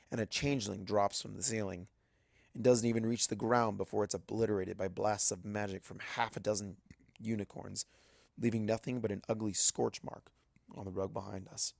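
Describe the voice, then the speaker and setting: A man's voice: fairly low pitch, steady tone, moderate pace, vocal fry, dry recording, studio quality. A man reading a first-person story aloud as dramatic scripted narration. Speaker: audiobook narrator of fan fiction.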